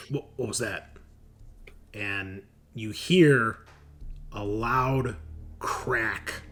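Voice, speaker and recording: A man's voice: fairly low pitch, a bit bright. A man acting as game master, talking casually through rules and narrating scenes in an informal, teasing way. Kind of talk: running a tabletop roleplaying game, casual rules talk and narration.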